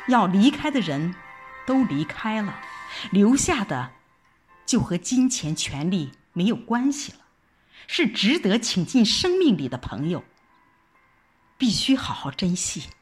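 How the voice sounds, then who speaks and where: A woman narrating a scripted first-person story in a measured, expressive storytelling style. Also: mid-range voice, breathy edge, faint music behind. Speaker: audio drama narrator.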